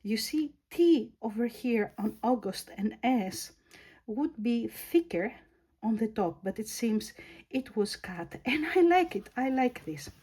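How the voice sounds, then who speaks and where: A woman with a Greek accent, English her second language, casually reviewing a planner and pausing to search for words. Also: fairly high pitch, animated, mellow, husky. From Greece. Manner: slow, thoughtful, searching for words. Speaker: planner vlogger.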